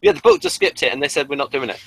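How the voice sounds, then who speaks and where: A man's voice: fairly high pitch, huge pitch swings, racing, vocal fry, husky, no room sound, rough recording. A man co-hosting a casual review show, often stammering and restarting his phrases as he explains. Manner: stammering, repeats phrase starts. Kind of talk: casual unscripted review chat.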